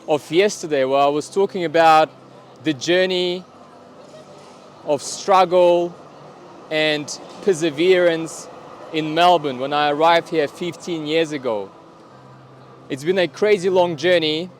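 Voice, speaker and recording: A man speaks to camera on a city street, his voice sitting a little high for a man. He talks in a deliberate way, pausing rather than filling gaps. Faint traffic hums behind him. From Kazakhstan. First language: Russian. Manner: deliberate, pausing instead of fillers. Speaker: vlogger. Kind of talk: casual talk to camera.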